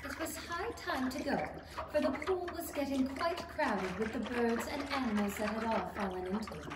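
Young female narrator, expressive radio-play storytelling; high-pitched, distant from the mic. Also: normal speed. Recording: distant, echoey stage with noise.

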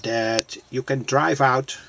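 Slow, gravelly man explaining, halting. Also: medium pitch, fairly even intonation, fairly bright tone, slight background noise. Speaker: electronics hobbyist presenter. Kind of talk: unscripted hands-on demonstration.

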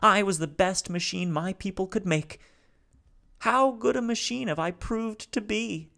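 A small, aged alien machine speaks high and haltingly. He sounds grief-stricken, cataloguing his own failings in a lament. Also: creaky, bright, forceful tone, heavily acted. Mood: mournful. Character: little old male alien robot, grief-stricken.

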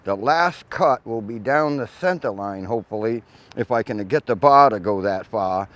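A man's voice: fairly low pitch, animated, moderate pace, fairly bright tone. Plainspoken older man teaching outdoors. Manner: plainspoken, practical, folksy asides. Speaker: shipwright demonstrating chainsaw work. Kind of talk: hands-on instructional explanation. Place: outdoors in a grassy yard by a mill.

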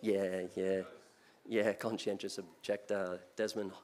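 Older Australian lay preacher, chatty, unpolished pulpit delivery. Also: male voice, deep voice, little pitch movement, takes it slowly, darkish tone. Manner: conversational, many restarts and fillers. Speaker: guest preacher. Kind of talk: sermon. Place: church sanctuary, speaking at a pulpit. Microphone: pulpit mic.

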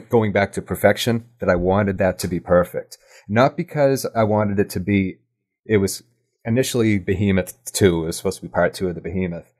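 Male podcast guest, low-pitched, thoughtful stop-and-start talker in a casual interview. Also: a little mellow. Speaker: podcast guest, audio drama writer and producer.

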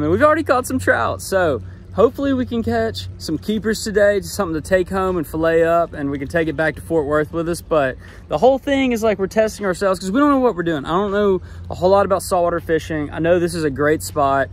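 A chatty young man from Texas, relaxed and full of fillers, talking to his body-worn camera while he fishes from a seaside jetty. His voice sits a little high for a man. Wind and water noise come through clearly behind him. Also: fast. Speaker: fishing vlogger. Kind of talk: casual fishing vlog commentary. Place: outdoors on a rock jetty by the sea. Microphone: action camera on body. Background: wind, water and boat noise.

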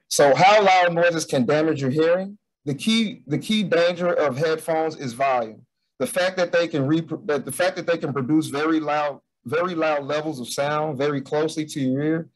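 Man, fairly high pitch, hesitant informal presenter. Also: poor audio. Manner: loose and hesitant, with many fillers and restarts. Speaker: bootcamp student presenting a capstone. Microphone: video call.

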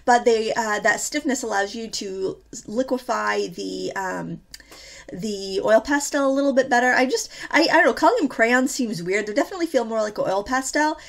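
Chatty woman with a stuffy, gravelly voice. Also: on the high side, moderate pace. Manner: chatty, rambling, many fillers. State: slightly stuffy from a cold. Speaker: art tutorial host. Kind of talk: casual painting tutorial voice-over.

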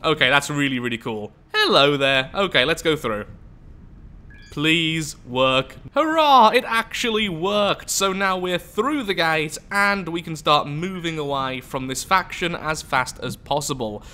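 A man's voice: fairly high pitch, animated, unhurried, pushed and bright. A young man with a flu-stuffed, nasal voice, chatting casually and poking fun at himself while commentating a space game. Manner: chatty, self-mocking, thinking aloud. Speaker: gameplay commentator. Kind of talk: let's play commentary.

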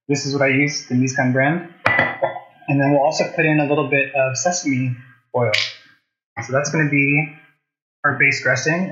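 A man in his thirties talks in a relaxed, conversational way, like a host walking viewers through a recipe. His voice sits at an ordinary pitch. The sound is noticeably roomy and a little dull, as in a home kitchen. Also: noisy background. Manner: conversational, asks viewers questions while working. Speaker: cooking show host. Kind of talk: casual live cooking demonstration, chatting to viewers.

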